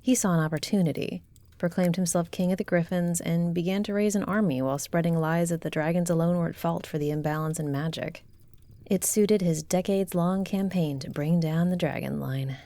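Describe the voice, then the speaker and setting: A woman plays a shrewd, composed royal shifter in a fantasy audio drama. The voice is very low and dark, with formal, measured phrasing. It is recorded dry and close. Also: no echo, natural voice. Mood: grave, probing. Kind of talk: audio roleplay acting, fantasy dialogue.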